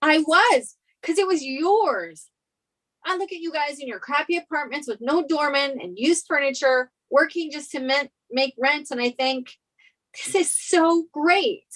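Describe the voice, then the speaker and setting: A high voice for a young, pampered sitcom woman, acted by a woman at a table read. She is anxious and wistful, hesitating as she pleads her case. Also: measured pace, fairly bright tone, low-quality recording. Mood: earnest, envious.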